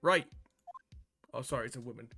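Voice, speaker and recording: A man's voice: medium pitch, fairly quick, creaky, bright, forceful tone, soft background music. A man chatting casually on a livestream as he plays a game and talks to viewers. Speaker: game streamer. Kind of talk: casual livestream chat while playing.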